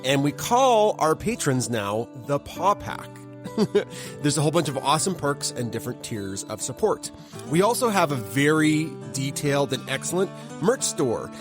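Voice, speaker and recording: A woman hosting a science podcast, reading plugs and asking quick, upbeat follow-up questions. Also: sits low, very animated, over music. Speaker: podcast host. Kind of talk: casual interview and plugs.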